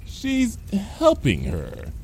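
A man's voice: medium pitch, wildly swooping pitch, extremely slow, noisy background, full character voice. A man voicing a flustered female pony schoolteacher in a story, faltering and wary.

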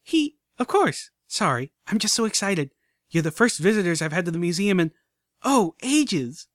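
A man's voice: extremely high pitch, lively intonation, normal speed, no echo, clean studio sound, pitched above the performer's own voice. A man voicing a hyperactive young female pony who squeals and talks in breathless bursts, in an audiobook reading. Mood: giddy. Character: hyperactive young female pony filly, giddy tour guide. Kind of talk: audiobook character voice.

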